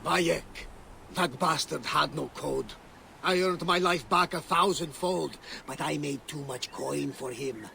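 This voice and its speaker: An actor playing a proud, bitter runaway Thracian gladiator in a video game, blunt and defiant in manner. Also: male voice, a little high, slow, vocal fry, pushed and bright, slight background noise, heavily acted. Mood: weary, grateful.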